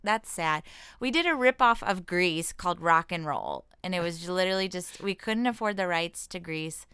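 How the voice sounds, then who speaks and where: An American woman talks as a guest in a casual interview. Her voice is low and very smooth, recorded close and dry. She is informal and self-deprecating, working out her answers aloud. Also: normal speed, pushed and bright, dry recording. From Washington state, United States. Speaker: comedian and actress, interview guest. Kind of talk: casual chat.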